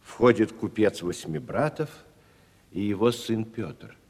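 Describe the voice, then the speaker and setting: A man's voice: lowish voice, expressive, takes it slowly, darkish tone, husky, exaggerated voice. A man giving scripted narration, reading out stage directions in a radio play. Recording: 1970s radio play, reverberant hall.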